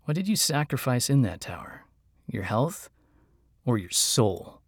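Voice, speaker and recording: A man's voice: mid-range voice, sing-song, a little slow, no echo, clean studio sound. A man voicing a proud, suspicious knight with a cold, stern, accusing manner. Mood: sneering.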